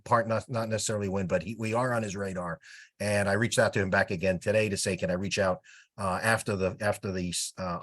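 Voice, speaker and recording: A man giving an informal, rambling update as a volunteer coordinator on a conference call. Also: lowish voice. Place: remote teleconference.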